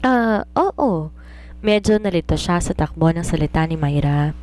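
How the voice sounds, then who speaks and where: Low-pitched woman storyteller. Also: fairly quick, dark tone. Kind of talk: scripted third-person narration of romance fiction.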